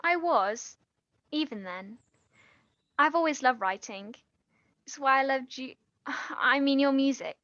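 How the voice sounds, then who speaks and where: An actress playing an earnest, guilt-ridden young woman, halting and pleading, in a naturalistic stage drama. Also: high-pitched, lively intonation, fairly bright tone, no room sound, muffled recording, full character voice. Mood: tender, nervous.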